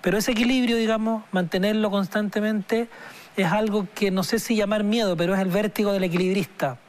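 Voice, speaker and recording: A man in his forties, a singer-songwriter guest, speaking slowly and thoughtfully with many pauses and restarts. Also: high-pitched, fairly even intonation. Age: 40s. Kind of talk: reflective interview talk.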